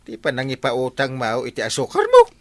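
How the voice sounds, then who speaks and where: An actor playing a hesitant, stammering village captain in an Ilocano radio comedy drama, with an Ilocano accent. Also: male voice, medium pitch, wildly swooping pitch, a little fast, dry recording. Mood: flustered, scolding.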